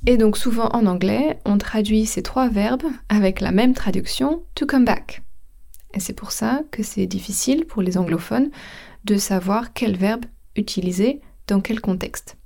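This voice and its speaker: A French woman gives a podcast language lesson in an unhurried, deliberate way, spelling out words and slipping into English to explain them. Her voice is mellow and soft-edged, sitting at an ordinary middle pitch, and she lets each phrase land slowly. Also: vocal fry. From France. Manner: slow, careful explanation mixing French and English. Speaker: French teacher.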